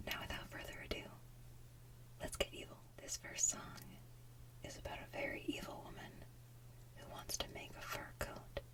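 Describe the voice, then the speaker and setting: Softly whispering woman, ASMR host. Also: far from the mic, low-quality recording. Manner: hushed, gentle, slow delivery. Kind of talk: soft whispered ASMR intros and talk.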